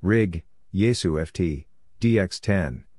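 Low-voiced man reading terse practice messages. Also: slow, a little mellow, slightly rough, no echo, clean studio sound. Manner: terse clipped phrases. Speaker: Morse code practice instructor. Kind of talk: slow read-out of radio practice messages.

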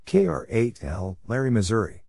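A man reading scripted short exchanges as a practice narrator, deliberately spelling out letters and numbers one at a time. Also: on the low side, big pitch swings, vocal fry, darkish tone, no echo, clean studio sound. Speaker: narrator of Morse code practice.

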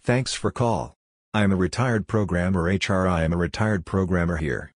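A man reads short scripted amateur radio phrases as a drill narrator, in a clipped, deliberate, phrase-by-phrase delivery. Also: sits low, no echo, clean studio sound. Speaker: narrator of a Morse code practice drill.

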